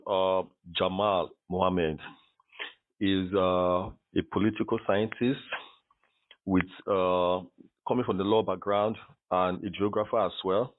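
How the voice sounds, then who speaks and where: A man's voice: sits low, dry recording, narrow, tinny audio. A man hosting a podcast, introducing the show and interviewing a guest.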